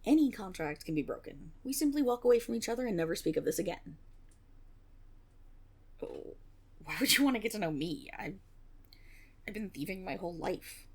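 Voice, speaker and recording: A woman's voice: mid-range voice, lively intonation, moderate pace, long pauses, slightly rough. A woman playing a flustered young tiefling rogue in a fantasy audio roleplay, stammering and blustering, cocky on the surface but unsure underneath. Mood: guarded, wounded. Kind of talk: fantasy roleplay audio drama, one-sided dialogue.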